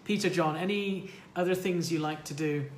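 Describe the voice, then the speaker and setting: A man's voice: fairly high pitch, normal speed. A man hosting a casual call-in chat, upbeat and encouraging, often repeating his phrases. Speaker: host. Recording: echoey room, faint noise.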